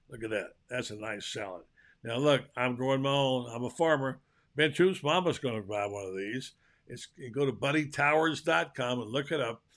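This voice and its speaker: An older man hosting a live show in a chatty, folksy way, calling out loudly to his guests. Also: mid-range voice. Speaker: host. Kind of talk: casual live show chat.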